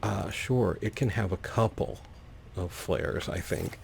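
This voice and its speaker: A man giving casual let's play commentary, thinking aloud in an unhurried, playful way. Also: sits low, vocal fry, a little mellow, slight background noise. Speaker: gaming video host.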